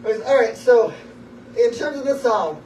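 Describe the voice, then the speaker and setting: A man's voice: very high-pitched, lively intonation, normal speed, darkish tone, a little hiss or hum. A young man chatting casually between songs at an open mic, rambling self-consciously and often trailing off. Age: young adult. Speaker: amateur singer-songwriter at an open mic. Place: small wood-ceilinged open mic venue, indoors. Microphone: phone in the audience, far from stage. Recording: distant, roomy, dull phone recording.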